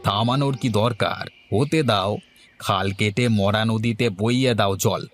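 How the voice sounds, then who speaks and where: A man plays a cartoon village headman. He hands out advice breezily and matter-of-factly, unbothered by anyone's trouble. Soft music plays behind him. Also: on the low side, measured pace, close to an everyday voice. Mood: breezy advising. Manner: matter-of-fact, breezily advising. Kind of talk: cartoon dialogue.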